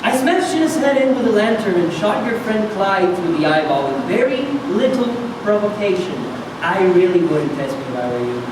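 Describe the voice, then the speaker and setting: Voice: medium pitch, animated, moderate pace, hardly any pauses, natural voice. An actor playing a menacing, drunken vagrant in clown makeup in a staged reading, mock-friendly, taunting and domineering. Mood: taunting threat. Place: live public reading in echoey room. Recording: echoey, distant, noisy room.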